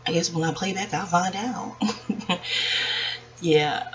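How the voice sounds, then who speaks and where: A woman in her forties talking to her viewers in a hushed, rambling, reflective way, hesitating and digressing as she goes. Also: on the low side, slow. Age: 40s. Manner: hushed, keeping voice down so as not to wake others. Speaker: video channel host. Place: at home, quiet dark room. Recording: dull lo-fi audio with faint background noise.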